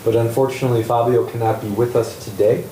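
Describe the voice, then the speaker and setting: Male moderator, mellow voice, plain intros; far and roomy recording. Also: medium pitch, steady tone, fast talker, a little hiss or hum. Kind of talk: introducing speakers. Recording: echoey hall from far off.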